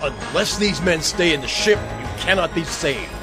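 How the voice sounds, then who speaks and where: A man's voice: on the high side, expressive, normal speed, natural voice. A man playing an earnest apostle who exhorts frightened shipmates in a dramatized scripture audio drama, with emphatic, oratorical delivery. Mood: stern warning. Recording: music bed under the voice.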